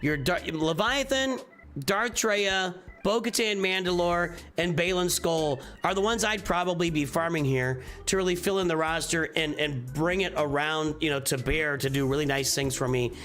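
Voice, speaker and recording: A man's voice: fairly high pitch, normal speed, a bit bright. A man hosting a casual live stream, reviewing game rosters in a rambling, offhand way, often correcting himself mid-sentence.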